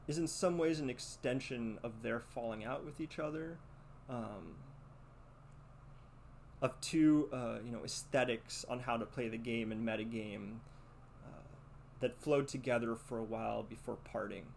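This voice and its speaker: A man's voice: mid-range voice, creaky, darkish tone. Reflective man podcasting, noticeable background noise. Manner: halting, deliberate, reflective. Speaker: podcast host. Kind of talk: podcast monologue with read-out letter and commentary.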